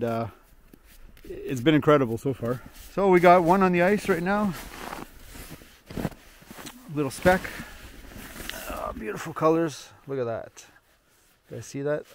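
A man's voice: on the high side, a little hiss or hum. A man in his fifties from Northern Ontario chatting to viewers while ice fishing outdoors, recorded on a head-mounted action camera. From Northern Ontario, Canada. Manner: chatty, excited, talks to viewers. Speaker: vlogger. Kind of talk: casual outdoor vlog narration. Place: frozen lake outdoors in wind.

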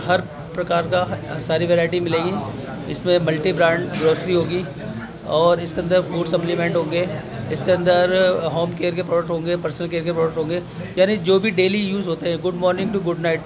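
An upbeat man pitches a business with a salesman's push, his voice set fairly high. He speaks close into a handheld news mic. A crowd chatters behind him. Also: level tone, normal speed. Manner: upbeat, salesy, opens with a long Islamic greeting. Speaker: company representative interviewed at an office opening. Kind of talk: promotional interview answers. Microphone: handheld news mic held up close.

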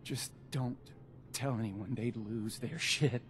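An actor playing an edgy young junkie vault dweller in a video game, with slangy talk. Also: male voice, mid-range voice, steady tone, takes it slowly, fairly bright tone, soft background music. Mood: edgy, relieved. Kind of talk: video game character acting.

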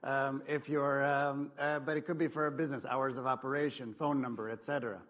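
A man giving a conference presentation on a lecture hall stage, casual and filler-heavy, often trailing off softly. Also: mid-range voice, level tone, brisk. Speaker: conference speaker.

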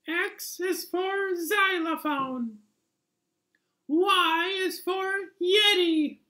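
Very high, slow zebra puppet. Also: male voice, sharp tone, exaggerated voice. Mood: patient, deliberate. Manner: slow, deliberate. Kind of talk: slow children's puppet recital.

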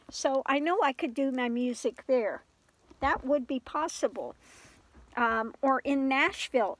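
An older woman chatting casually into her phone inside a camper, stuffed up with a cold and clearing her throat often. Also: sits high, no room sound. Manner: rambling, frequent throat clearing and soft laughs. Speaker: vlogger. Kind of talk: casual chat to camera. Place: inside a small camper van at night. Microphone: phone camera at arm's length.

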